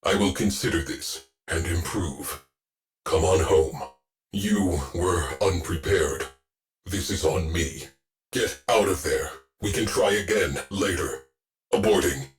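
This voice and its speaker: A man voicing a terse, stoic military ship AI in a video game, with a clipped, deadpan tactical delivery and a dry protective streak. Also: sits low, takes it slowly. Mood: grave, resolute. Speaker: ship tactical AI announcing combat. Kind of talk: scripted video game voice lines.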